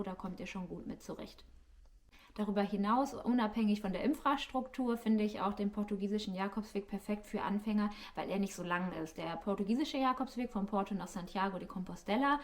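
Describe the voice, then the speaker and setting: A woman's voice: mid-range voice, brisk. A woman giving a casual, friendly explainer as a vlogger, searching for her words as she speaks directly to viewers. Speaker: YouTube vlogger. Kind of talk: casual informal explainer to camera. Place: living room.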